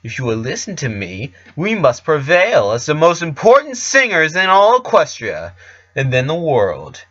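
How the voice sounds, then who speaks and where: A man's voice: on the high side, wildly swooping pitch, normal speed, fairly bright tone, dry recording, muffled recording, voice pushed up, exaggerated voice. A man voicing a domineering, taunting female pony villain, a diva alter ego who goads and harangues in an audio story. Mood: taunting, scornful.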